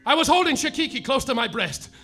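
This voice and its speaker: Man playing an overwrought, very high-pitched private detective. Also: sing-song, fast, pushed and bright, faint music behind, heavily acted. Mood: heartbroken, dramatic. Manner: overwrought, declamatory. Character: melodramatic heartbroken male private detective, boastful and defiant. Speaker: lead actor and narrator. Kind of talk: parody noir detective narration, live radio play.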